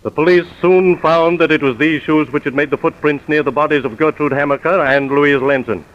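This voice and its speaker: An actor playing the sober narrator of a crime drama, in scripted old-time radio style. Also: male voice, a little high, brisk, a bit bright, natural voice. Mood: sober, dramatic. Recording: dull vintage radio recording.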